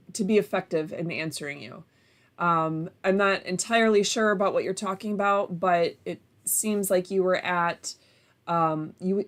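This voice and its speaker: A woman hosting a live legal call-in show, her voice sitting a little low. She speaks candidly and carefully, asking measured questions to pin down the facts. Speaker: host, lawyer.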